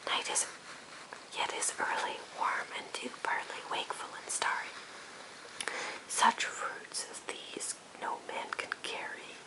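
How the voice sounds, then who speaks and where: A woman voicing coaxing goblin fruit sellers in a breathy whisper, in an ASMR poem reading. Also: slow, natural voice, poor audio. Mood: coaxing, tempting. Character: coaxing goblin fruit merchants tempting a maiden.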